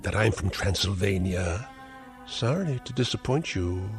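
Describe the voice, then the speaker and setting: An actor playing a cruel, sarcastic elderly uncle who is a scientist, taunting with mocking, theatrical insults. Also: male voice, low voice, lively intonation, a little slow, soft background music. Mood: sneering. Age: older. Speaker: domineering uncle. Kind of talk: 1960s TV drama acting.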